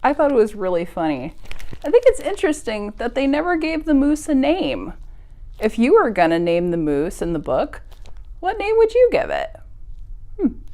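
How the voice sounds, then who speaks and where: A woman's voice: a little high, wildly swooping pitch, moderate pace, darkish tone. A woman hosting a preschool storytime, speaking straight to small children in simple, encouraging phrases with exaggerated sing-song emphasis. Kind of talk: preschool storytime read-aloud and chat to children.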